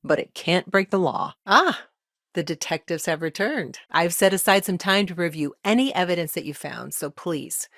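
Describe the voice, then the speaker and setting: A woman voicing a weary, earnest lawyer in a video game, speaking in measured, articulate, formal phrases with wry asides. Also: lowish voice, lively intonation, a bit bright, dry recording, studio quality. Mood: composed, formal. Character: exhausted, dry-witted woman lawyer, earnest and principled. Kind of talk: naturalistic video game dialogue acting.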